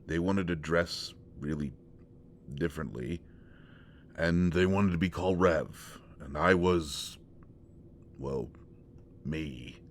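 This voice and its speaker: A very low-voiced young man played by a male voice actor, shy and awkward, in an intimate roleplay audio drama. He speaks slowly and haltingly, stammering bashfully as he confides. There is faint noise behind him. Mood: bashful, reminiscing. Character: shy, awkward young male shapeshifter confessing to a close friend.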